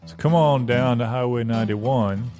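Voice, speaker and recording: A man playing an earnest, no-frills small-town car dealer giving a deliberate, wordy pitch in an improvised radio ad parody. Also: lightly acted. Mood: earnest, insistent. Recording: guitar music behind the voice.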